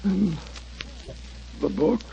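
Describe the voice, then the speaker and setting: A man's voice: drawn-out words, dark tone. An actor playing a desperate, dying nobleman, weak and gasping, in a 1940s radio melodrama. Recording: muffled, noisy 1940s radio recording.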